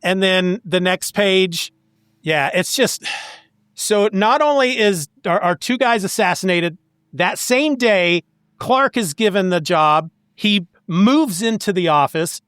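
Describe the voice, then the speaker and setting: Guy with a pretty high voice doing casual podcast chat, animated retelling, dry close sound. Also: slow, smooth, no echo. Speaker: podcast co-host. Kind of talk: casual comic book discussion.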